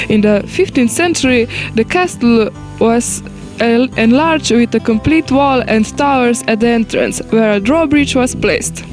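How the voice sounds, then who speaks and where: A woman's voice: fairly high pitch, a little mellow. A woman reading a scripted history presentation carefully. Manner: reads carefully. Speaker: student presenter. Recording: music playing under the voice.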